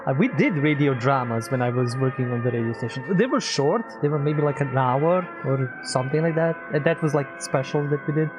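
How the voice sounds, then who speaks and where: A man's voice: mid-range voice, fairly quick, hardly any pauses, music in the background, rough recording. A man streaming, chatting casually and commenting offhand.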